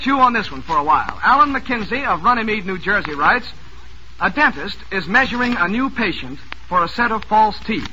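A man's voice: high voice, sing-song, fairly quick, bright, forceful tone, dull audio. A man hosting a 1940s radio music quiz, jovial and teasing as he reads out listeners' questions. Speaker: quiz show host. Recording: noisy old radio broadcast.

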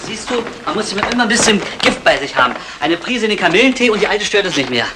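An actor playing a nervous, grumbling burglar's sidekick in a 1960s German radio crime comedy. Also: male voice, high voice, sing-song, fast. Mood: wry. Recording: noisy old 1960 radio recording.

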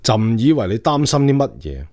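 An actor playing a commanding Qing emperor in a radio drama, speaking in an imperious, measured manner. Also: male voice, mid-range voice, sing-song, a little mellow, dry recording, studio quality, close to an everyday voice. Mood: deliberate, imperious. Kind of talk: Cantonese radio drama acting.

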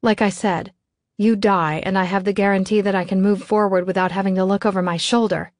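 A woman narrator voicing a hardened, hot-tempered young woman with a sharp, terse manner in an audiobook. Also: medium pitch, steady tone, fairly quick, no room sound, clean studio sound, close to an everyday voice. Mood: cold defiance.

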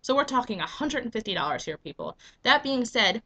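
A woman's voice: on the high side, sing-song, a little fast, pushed and bright. A young woman with a cold, making an earnest, emphatic case to her webcam with lots of rhetorical questions. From United States. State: getting a cold. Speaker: vlogger and business owner. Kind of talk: persuasive webcam vlog. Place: small indoor room at home. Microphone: webcam at desk.

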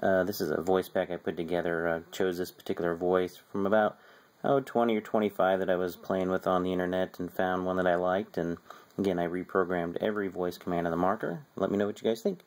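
A man's voice: deep voice, steady tone, quick pace. A man casually demonstrating his hobby gear in a home video.

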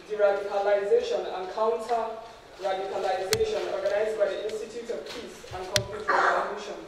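A young woman serving as master of ceremonies, reading a formal introduction from notes at a podium in a large conference hall. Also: mid-range voice. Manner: steady, measured reading from notes. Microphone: podium microphone, heard from a distance. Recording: very echoey hall, distant mic, faint noise.

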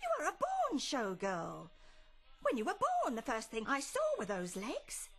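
A woman's voice: on the high side, wildly swooping pitch, normal speed, slightly rough, exaggerated voice. A woman acting in a comedy radio play as a pushy, theatrical, starstruck middle-aged mother of a showgirl. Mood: insistent, proud.

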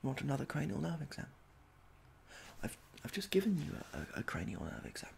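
A young man doing a soft-spoken ASMR roleplay as a medical examiner, halting and awkwardly polite, in a small room at home. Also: medium pitch, huge pitch swings, gravelly, slight background noise. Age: young adult. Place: small domestic room indoors.

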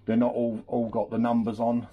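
A man's voice: mid-range voice, normal speed, muffled recording. A man talks casually through a repair in a workshop while he works at a bench. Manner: relaxed, chatty, talks while working with hands. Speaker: scooter mechanic demonstrating a rebuild. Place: indoor workshop at a workbench. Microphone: camera on the bench, speaker off to the side.

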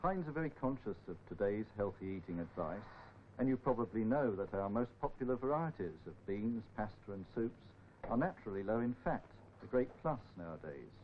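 A man's voice: lowish voice, lively intonation, brisk. A middle-aged man giving a scripted presentation as a company nutrition expert. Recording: dull old film sound, faint noise.

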